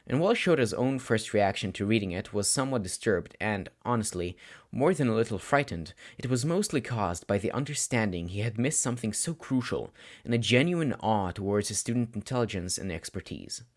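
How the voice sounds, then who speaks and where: A man reading scripted story narration aloud, audiobook style, with expressive, dramatic phrasing. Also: fairly low pitch, fairly quick. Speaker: audiobook narrator of fan fiction.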